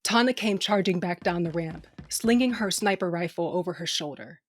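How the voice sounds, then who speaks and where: An actress playing a tough, wry spacefaring crew leader, narrating an audio drama in a brash, casual way. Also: female voice, lowish voice, normal speed, close to an everyday voice. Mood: gritty narration. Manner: brash, casual, take-charge.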